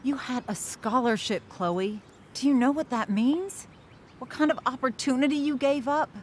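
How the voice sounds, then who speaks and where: A woman's voice: sits high, moderate pace, a little mellow, slight background noise, lightly acted. A woman playing an exasperated, pleading middle-aged mother, emotional and reproachful, in a video game cutscene.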